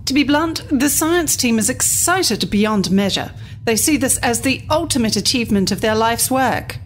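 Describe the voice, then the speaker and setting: An actress playing a cool, blunt corporate executive in an audio drama, direct and guarded, over a faint rumbling noise. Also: female voice, lively intonation, moderate pace, natural voice.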